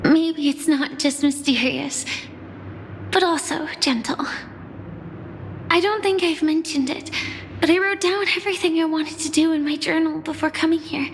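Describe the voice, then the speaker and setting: A woman voicing a shy, gentle young woman in a game cutscene, soft-spoken and apologetic, at times nearly whispering. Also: high-pitched, normal speed, a little hiss or hum. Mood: tender. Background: game soundtrack music.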